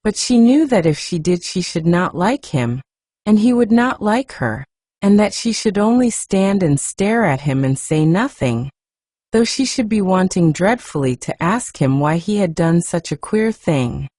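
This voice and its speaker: Female audiobook reader: soft, hushed and gentle, slightly low, mellow tone, lively storytelling intonation. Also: measured pace, no room sound. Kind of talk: softly read storybook narration.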